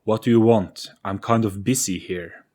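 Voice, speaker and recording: A man's voice: fairly low pitch, fairly even intonation, normal speed, warm tone, lightly acted. A man voicing a brusque, irritated, scruffy man who speaks curtly, in an audio play. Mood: impatient. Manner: curt, brusque.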